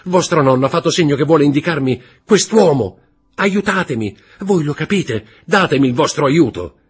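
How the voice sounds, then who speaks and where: A man's voice: fairly low pitch, expressive, normal speed, slightly rough. A man voicing a desperate young nobleman who pleads and cries out to learn who killed his father. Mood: anguished. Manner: pleading, rising to anguished cries.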